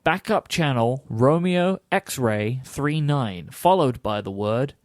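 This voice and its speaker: Male co-host for factual podcast narration, medium pitch, close and dry, with casual asides. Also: animated, slow, no room sound.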